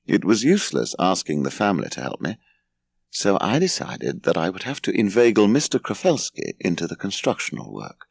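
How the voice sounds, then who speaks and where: A man with an English accent reading an audiobook as expressive scripted narration. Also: low-pitched, a little fast, vocal fry, dry recording.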